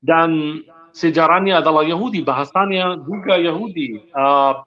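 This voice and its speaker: A man's voice: on the high side, moderate pace, pushed and bright. Earnest man with muffled audio. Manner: earnest, searching for words, frequent restarts and English fillers. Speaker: guest speaking on faith. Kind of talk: casual religious discussion.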